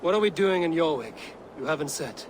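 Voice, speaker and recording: A man playing a stern, forthright Viking warrior in a dramatic video game, speaking in a measured, blunt way. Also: a little high, animated, a little hiss or hum, lightly acted. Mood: stern, disapproving.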